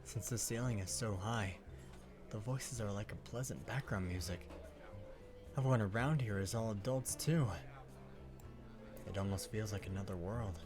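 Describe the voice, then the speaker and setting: A man voicing a jumpy, flustered teenage boy who blurts out loud, exasperated outbursts. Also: lowish voice, moderate pace, long pauses, over music, close to an everyday voice. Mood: hushed. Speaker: Watanuki. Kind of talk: fan audio drama dub.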